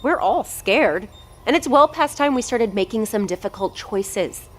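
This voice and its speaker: An actress playing an arrogant, impatient research doctor, haughty and cutting in an audio drama. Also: female voice, a little high, lively intonation, unhurried. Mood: angry, exasperated. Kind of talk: scripted audio drama dialogue.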